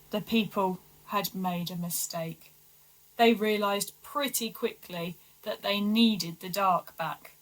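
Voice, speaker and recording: A woman reading a children's storybook aloud with expressive, deliberate, dramatic emphasis. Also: mid-range voice, low-quality recording. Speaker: story reader for schoolchildren.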